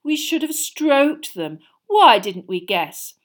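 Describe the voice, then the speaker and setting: A woman voicing a cold, sneering teenage boy who speaks in a slow sarcastic drawl. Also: high-pitched, big pitch swings, pitched above the performer's own voice, full character voice.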